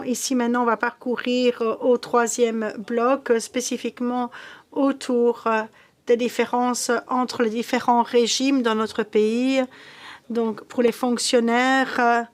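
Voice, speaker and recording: Une femme à l'belge anime une séance de conférence. Sa voix est plutôt aiguë, son débit lent et mesuré. Son ton reste presque plat. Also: female voice, fairly high pitch. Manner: slow, measured, with some fillers. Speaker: moderator. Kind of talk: introducing a conference session. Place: conference room.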